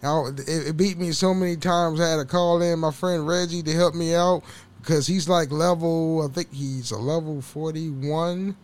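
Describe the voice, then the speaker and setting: A man with a somewhat high-pitched voice chats alone into a home mic, loose and rambling. He curses freely and laughs often, and his voice carries a slight hoarseness. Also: steady tone, moderate pace, a little mellow. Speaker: podcast host. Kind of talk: casual solo podcast chat.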